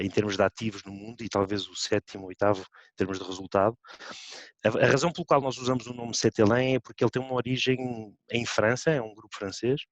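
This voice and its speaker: A middle-aged man from Portugal with a European Portuguese accent, speaking as a bank executive on an online panel and pausing on many fillers. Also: on the low side, no echo, dull audio. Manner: hesitant, many fillers and restarts. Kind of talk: panel discussion. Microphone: video call.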